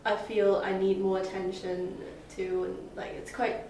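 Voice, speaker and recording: A woman's voice: medium pitch, little pitch movement, unhurried, darkish tone. A seventeen-year-old girl from Hong Kong, a summer school student, answering interview questions with many hesitant fillers. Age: teens. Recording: echoey room, distant mic, faint noise.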